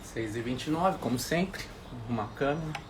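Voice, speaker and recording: A man, hosting a morning Bible live stream, relaxed and chatty, often restarting sentences. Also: medium pitch, moderate pace, noisy background. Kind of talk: casual chat, prayer and Bible commentary. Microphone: phone or webcam for a live stream.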